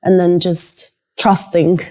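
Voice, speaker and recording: A woman's voice: on the low side, flat delivery, slow, dark tone. A young woman entrepreneur answering documentary interview questions in a chatty, enthusiastic way with many fillers.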